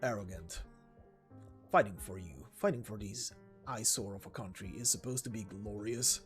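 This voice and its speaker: A man's voice: on the low side, normal speed, close to an everyday voice. A man voicing a proud, bitterly defiant young male warrior in terse, contemptuous lines from a game, over music. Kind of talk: dramatic reading of game dialogue.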